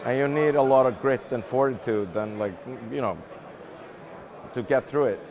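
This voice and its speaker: A man's voice: medium pitch, moderate pace. A middle-aged man from Iceland giving casual interview answers, thinking aloud and repeating words as he goes. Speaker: interview guest, game publishing executive. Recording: busy event crowd behind the voice.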